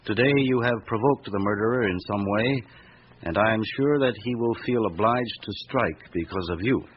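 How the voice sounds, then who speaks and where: Actor as dutiful junior detective, lowish voice. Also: male voice, normal speed, close to an everyday voice. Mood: reading gravely. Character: dutiful, eager male junior police detective. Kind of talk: radio drama acting. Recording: muffled radio drama with clear hiss.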